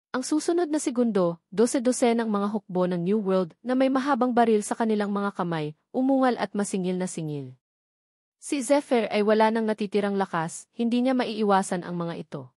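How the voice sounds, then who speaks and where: A woman reading scripted audiobook narration of an action novel in measured, formal sentences. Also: mid-range voice, a little mellow, no echo, clean studio sound.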